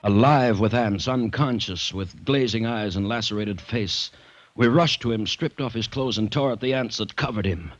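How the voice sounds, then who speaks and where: Male actor as grave storyteller, 1940s radio play, dramatic and measured, dull recording with faint music. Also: lowish voice, natural voice. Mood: urgent. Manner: solemn, dramatic delivery. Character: grave male companion narrating a rescue. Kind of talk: 1930s-1940s American radio drama narration.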